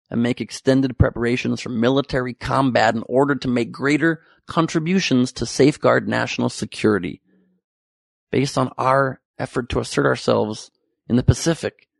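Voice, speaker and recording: Gravelly young man riffing on the news. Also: mid-range voice, dry recording, studio quality. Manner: irreverent, profane, joking asides. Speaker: comedy commentary host. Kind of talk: comedic news monologue.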